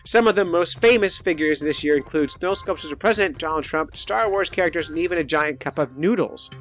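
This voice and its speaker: A man's voice: fairly high pitch, fairly quick, a bit bright, slight background noise. A man hosting a podcast in an informal, jokey way, chatting casually and thinking aloud as he goes through news stories. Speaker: podcast host.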